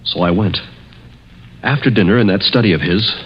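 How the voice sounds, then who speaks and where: An actor playing a bitter, desperate, struggling chemist in a 1940s radio mystery, halting and earnest. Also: male voice, lowish voice, breathy edge. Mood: brooding, confiding. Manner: halting, earnest, brooding delivery. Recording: muffled, noisy 1940s radio recording.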